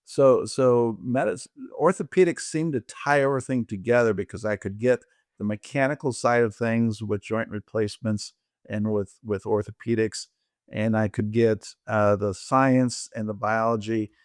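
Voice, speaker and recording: A man in his sixties or older who grew up in Colombia, telling his own story conversationally in a halting way. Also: on the low side, no room sound, studio quality. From Colombia, raised by American parents. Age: 60s or older. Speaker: interview guest, orthopedic surgeon.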